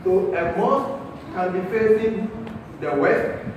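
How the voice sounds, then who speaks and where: Ghanaian man, mosque guide for a school group, high voice, heard from a distance with hiss behind. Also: takes it slowly, highs cut off. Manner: patient, explaining, asks "okay?" and "eh?". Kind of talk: informal teaching talk. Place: large mosque hall. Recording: echoey hall from far off, with noise.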